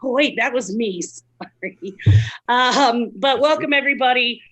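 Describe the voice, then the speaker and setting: A hoarse woman hosting an online event over a video call, chatty and full of fillers. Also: a little high, measured pace, fairly bright tone, poor audio. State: hoarse, lost voice. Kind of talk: casual chat.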